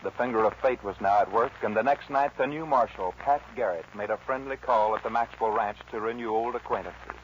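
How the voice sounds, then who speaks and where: A man's voice: fairly low pitch, a little fast, few pauses. A man with an American accent narrating a western in solemn, theatrical 1940s radio style. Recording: muffled old radio recording, faint noise.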